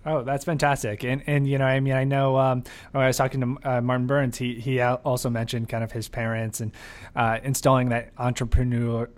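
Guy hosting a podcast, mid pitched voice, wordy casual questions, kinda hesitant. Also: little pitch movement, a little fast. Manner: rambling, hesitant phrasing. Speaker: podcast host, interviewer.

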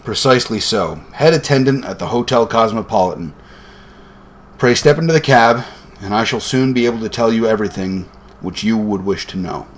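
A man voicing a shrewd gentleman detective in a storybook, bland, measured and confident. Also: fairly low pitch, expressive, close to an everyday voice. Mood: smoothly knowing.

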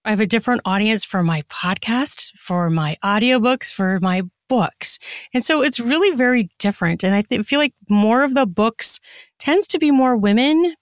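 A woman's voice: on the high side, animated, normal speed, no room sound, clean studio sound. A woman chatting casually as a podcast guest, explaining things in a rambling, conversational way. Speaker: podcast guest, erotic fiction author and podcaster. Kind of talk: casual interview chat.

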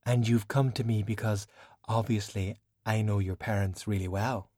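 Audiobook role: elegant, cool veteran sorceress, acted by a man. Formal, measured, admonishing, dry studio sound. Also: fairly low pitch, fairly even intonation, a little mellow, no echo, lightly acted. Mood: wry. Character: worldly, composed, cool woman sorcerer, long-lived and stern.

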